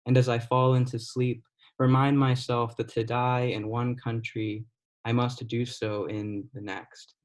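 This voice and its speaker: A young man in his twenties giving a poetry reading in a measured, deliberate way, his voice falling softly at the ends of lines. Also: medium pitch, level tone. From United States. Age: 20s. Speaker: poet. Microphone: video call.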